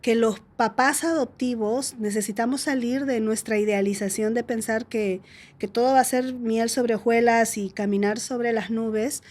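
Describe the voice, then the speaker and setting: A woman guest who is an adoptive parent, speaking in a measured, deliberate way. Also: on the high side, fairly even intonation, darkish tone. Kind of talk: conversational podcast discussion.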